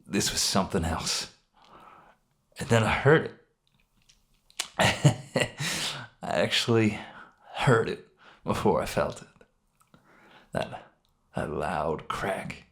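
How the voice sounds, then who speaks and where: A man playing a wounded, guilt-ridden criminal in a narrated story, halting and pained, with a gravelly, emotion-strained voice. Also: low-pitched, animated, fairly bright tone, exaggerated voice. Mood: rueful, dazed. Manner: halting, rueful, with sighs and bitter weak laughs. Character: wounded, guilt-ridden criminal mourning his dead brother. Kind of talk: dramatic monologue in a narrated story.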